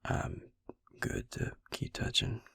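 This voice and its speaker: A man playing a gentle, wry vampire healer in an intimate ASMR roleplay, speaking slowly and soothingly in a breathy, husky near-whisper. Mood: soft, focused. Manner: slow, soothing, folksy endearments.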